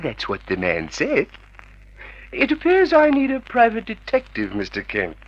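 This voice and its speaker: An actor playing a giggly, teasing joke shop owner and husband in an old radio drama. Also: male voice, sits high, huge pitch swings, moderate pace. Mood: gleeful, teasing. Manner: teasing, constantly giggling and chuckling. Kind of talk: mid-century radio drama acting. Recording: muffled vintage radio drama recording.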